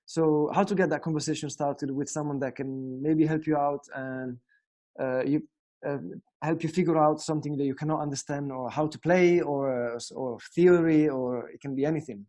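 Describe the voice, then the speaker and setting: A young man with an Italian accent talks through a guitar lesson in a relaxed, unscripted way. His voice sits a little high but sounds mellow and warm, and he often pauses on fillers and restarts his sentences. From Italy. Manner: hesitant, many fillers and restarts. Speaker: guitar teacher. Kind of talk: casual spoken lesson.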